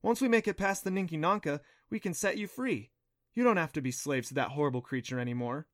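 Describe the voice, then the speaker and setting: A man's voice: a little high, quick pace, dry recording, clean studio sound, voice pushed up, natural voice. A man voicing a sweet, plucky young girl in an audiobook, with a lilting, cooing and teasing way of speaking. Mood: gentle. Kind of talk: audiobook character voice.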